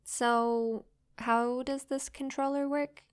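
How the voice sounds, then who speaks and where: Woman acting a sweet, clear-voiced, unhurried succubus. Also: sits high, little pitch movement. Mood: curious, eager. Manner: soft, playful, sweetly inquisitive. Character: friendly, curious young female succubus new to human life. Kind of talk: intimate roleplay audio drama, addressed to the listener.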